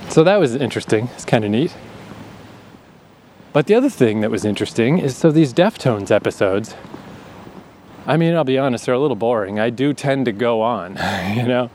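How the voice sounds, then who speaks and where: A man's voice: medium pitch, lively intonation, normal speed, pauses often, a little mellow, slight background noise. A man in his thirties with a slight Atlantic Canadian accent, hosting a podcast as a rambling, candid monologue outdoors, swearing freely and laughing often. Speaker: podcast host. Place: outdoors in a breezy urban area.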